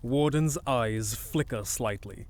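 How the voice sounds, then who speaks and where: A man narrating an audio drama from a script in a measured, descriptive storytelling manner. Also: mid-range voice, darkish tone.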